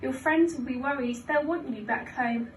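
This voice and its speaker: A woman voicing a worried young boy in a children's storybook, calling out loud, insistent, sing-song warnings. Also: high voice, moderate pace, close to an everyday voice. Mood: urgent, warning. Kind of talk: children's storybook read-aloud. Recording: roomy, distant mic, faint noise.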